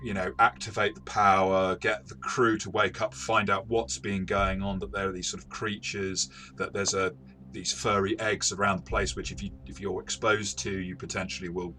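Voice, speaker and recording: A man's voice: low voice, pushed and bright. A man talking conversationally as a game master reviewing a game, circling his phrases and repeating words as he goes.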